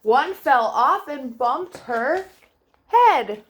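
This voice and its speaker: Slow, higher-voiced woman reading to children. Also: expressive. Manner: sing-song, addressing children directly. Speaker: children's storytime host. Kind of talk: read-aloud storytelling for young children.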